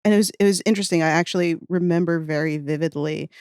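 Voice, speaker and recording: A woman's voice: on the low side, quick pace, a little mellow, clean tone, no echo, studio quality. A woman chatting casually as a podcast guest, reminiscing in an enthusiastic, rambling, self-deprecating way. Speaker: podcast guest, audio fiction writer.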